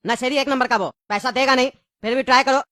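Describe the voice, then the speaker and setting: Cartoon pumpkin dad: exasperated, scolding, high and quick, in a close dry recording. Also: fairly even intonation, sharp tone, no room sound. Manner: scolding, dismissive, fast-talking. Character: exasperated, grumbling pumpkin father, adult male. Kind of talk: Hindi cartoon dialogue.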